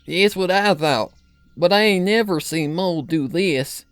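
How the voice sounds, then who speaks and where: Man putting on a high-pitched folksy old country granny. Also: measured pace, fairly bright tone, smooth, no room sound, soft background music. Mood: grim, knowing. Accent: rural Southern US. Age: older. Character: elderly country granny pony, folksy and worried. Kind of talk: fan fiction character reading.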